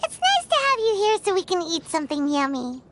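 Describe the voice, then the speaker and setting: An actress playing a tiny childlike fairy companion in a video game, squeaky, chirpy and eager. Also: female voice, wildly swooping pitch, fairly quick, a bit bright, slight background noise, full character voice. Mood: cheerful, eager. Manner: chirpy, eager, third-person cute talk. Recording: music behind voice.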